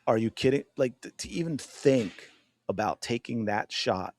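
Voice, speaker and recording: A man hosting a sports podcast who gives opinionated commentary in an emphatic, animated way while addressing his viewers directly. His voice is going hoarse. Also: mid-range voice, moderate pace. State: losing his voice.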